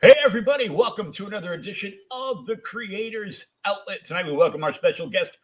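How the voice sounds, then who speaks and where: A man hosting a podcast, asking casual questions in an informal, halting way. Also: high-pitched, expressive, fairly bright tone. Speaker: podcast host, interviewer. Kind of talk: casual interview chat.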